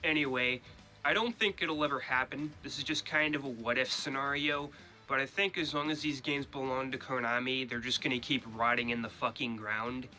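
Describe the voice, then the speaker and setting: A man with a stuffy nose from a cold, giving his blunt opinions in a casual gaming vlog, swearing freely and filling pauses with ums and uhs. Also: mid-range voice, steady tone, sharp tone, soft background music. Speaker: gaming YouTuber.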